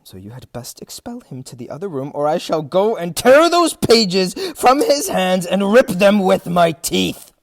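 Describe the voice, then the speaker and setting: An actor playing a proud, hot-tempered captain, declaiming in a theatrical period audio drama style. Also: male voice, sits high, sing-song, measured pace, vocal fry, dry recording, studio quality, faint music behind, full character voice. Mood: furious. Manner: declamatory, indignant.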